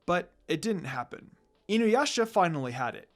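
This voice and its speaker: A woman's voice: fairly low pitch, big pitch swings, moderate pace. A woman reading fan fiction aloud as an amateur narrator, telling the story in a dramatic storytelling way.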